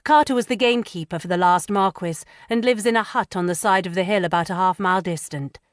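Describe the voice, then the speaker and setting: A woman's voice: mid-range voice, fast, no echo, clean studio sound, natural voice. A woman voicing a firm but caring young lady in an audiobook, insistent and soothing by turns. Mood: commanding. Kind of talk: audiobook character voice.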